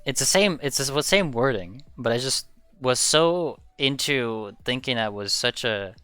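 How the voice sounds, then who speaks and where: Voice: medium pitch, animated, unhurried, a bit bright, smooth, no room sound, faint music behind. A young adult from Belgium, a native Dutch speaker, chatting casually in a podcast and rambling with frequent restarts and swearing.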